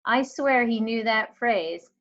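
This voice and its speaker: Female reader for children's fiction, unhurried, expressive with dialogue, on a muffled lo-fi recording. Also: a little high. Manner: measured, acts out dialogue. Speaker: teacher or reader reading a children's novel aloud. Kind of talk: expressive storybook read-aloud. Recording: dull lo-fi recording.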